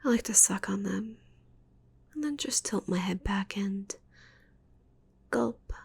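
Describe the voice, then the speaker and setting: A sultry, possessive witch played by a woman murmurs in a breathy, husky whisper for an ASMR roleplay. Her delivery is slow and intimate, with creaky edges. She teases and gloats like a doting, dangerous captor. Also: medium pitch, a little mellow, full character voice. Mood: savoring, confiding.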